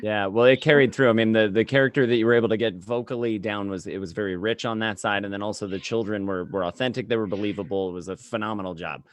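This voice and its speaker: A man's voice: lowish voice, fairly even intonation, fast talker, few pauses, no echo. A man hosting a casual interview over a video call, effusive and full of compliments for his guests. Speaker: interview show host. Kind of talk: casual remote cast interview.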